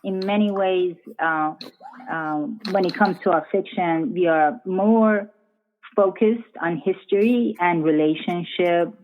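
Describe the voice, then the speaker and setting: Woman from iran, deep voice, lots of ums and pauses, phone-line sound. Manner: hesitant, many ums, laughs easily. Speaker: guest author. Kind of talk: casual interview chat.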